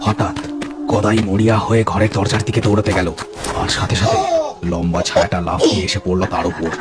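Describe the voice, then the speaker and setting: Very deep Bengali-accented male horror narrator. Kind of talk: dramatic scripted horror story narration. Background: music.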